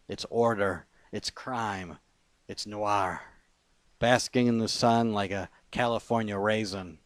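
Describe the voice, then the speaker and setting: A man reading his own poetry aloud as spoken word, phrasing it deliberately in short lines with pauses between them. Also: fairly low pitch, no room sound. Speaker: poet.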